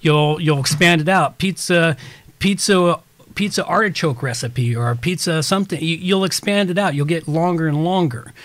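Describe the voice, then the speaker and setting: A man with a somewhat high voice, recorded dry and close with almost no room sound. He explains technical topics to a co-host in a loose, rambling way, restarting sentences and reaching for homely examples. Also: lively intonation, normal speed, no room sound. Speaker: podcast host. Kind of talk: casual explanatory chat.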